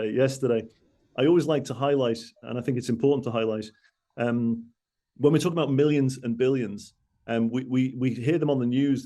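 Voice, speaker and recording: A man with a Mancunian accent talks through a presentation over a video call. His voice is mellow and warm. He wanders into asides, restarts his sentences and corrects himself as he goes. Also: mid-range voice. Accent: Mancunian English. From Manchester, UK. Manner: rambling, self-correcting, with frequent asides. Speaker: guest speaker.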